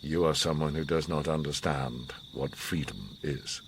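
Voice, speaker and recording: An actor playing a grave, commanding, enigmatic older war survivor in a radio drama, speaking in a measured, solemn, philosophical way. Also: male voice, rumbling low, a little hiss or hum. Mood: coldly dismissive. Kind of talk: radio drama monologue and dialogue.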